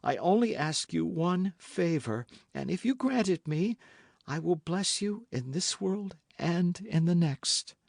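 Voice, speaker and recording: A man's voice: high voice, lively intonation, slow pace, vocal fry, a little mellow, dry recording, studio quality, pitched above the performer's own voice, exaggerated voice. A man voicing a scheming noblewoman who feigns pious, tearful meekness as she pleads. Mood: tearful pleading. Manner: pleading, sobbing, breathless. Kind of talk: audiobook character voice.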